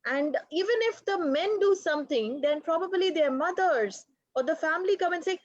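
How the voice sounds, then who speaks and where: A woman moderates a panel in a lively, chatty way, speaking in a high voice. The sound is dry and muffled, like a video call. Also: normal speed, no echo.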